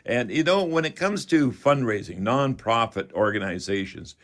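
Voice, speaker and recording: A man's voice: mid-range voice, lively intonation, normal speed, a bit bright. An older man with a Canadian accent hosting a chatty radio call-in show in a folksy, rambling manner.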